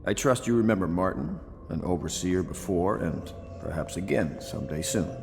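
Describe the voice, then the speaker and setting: A man's voice: sits low, expressive, moderate pace. A man playing a commanding, resolute conspiracy leader, speaking formally and strategically in video game dialogue. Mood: grave, resolute. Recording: clear music behind.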